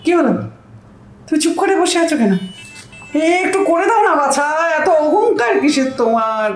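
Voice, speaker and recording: A woman's voice: high voice, sing-song, moderate pace, echoey room. A woman playing a bossy, boastful older housewife in a comic audio drama, scolding and wheedling with self-important chatter.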